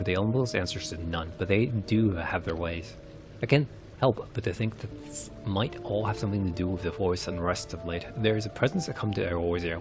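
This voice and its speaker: A man reading fantasy game dialogue aloud in character, with a formal, theatrical delivery. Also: low-pitched. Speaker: streamer narrating game story.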